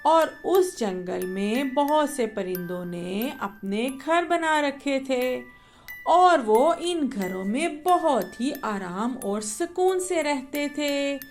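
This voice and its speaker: A woman with a high voice tells a children's bedtime story. She reads slowly and gently, and faint music plays beneath her. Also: expressive. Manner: slow, gentle. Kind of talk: scripted bedtime story narration.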